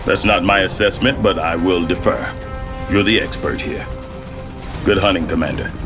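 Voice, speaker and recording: An actor playing a gruff, battle-worn older American general in a video game, with blunt folksy military delivery. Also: male voice, low-pitched, moderate pace, close to an everyday voice. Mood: firm. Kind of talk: dramatic video game acting. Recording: dramatic music behind.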